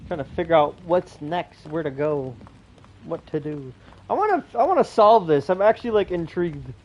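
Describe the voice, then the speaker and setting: High-voiced man commenting on a game. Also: lively intonation, normal speed, muffled recording. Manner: thinks aloud, informal. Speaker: video game streamer. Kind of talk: casual gameplay commentary.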